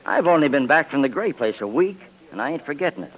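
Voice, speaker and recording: An actor playing a defensive, slangy pool-room owner and bookie in an old-time radio drama. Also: male voice, a little high, lively intonation, quick pace, lo-fi sound, lightly acted. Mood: whining, pleading. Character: evasive, grudging male pool-room owner, ex-con bookie.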